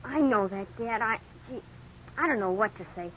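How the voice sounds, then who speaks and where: A woman's voice: a little high, normal speed, a bit bright, lightly acted. A woman playing an anxious, earnest young son in a 1950s radio drama, speaking hesitantly. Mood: worried, dismayed. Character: Vicky, anxious young son in trouble after a crash. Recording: dull, noisy old radio recording.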